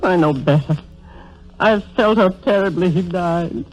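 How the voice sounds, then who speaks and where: A woman's voice: fairly low pitch, measured pace, a little mellow, lightly acted. An actress playing a grieving, insistent soldier's mother in a 1930s radio drama. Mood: anguished, demanding. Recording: dull, lo-fi 1930s radio broadcast.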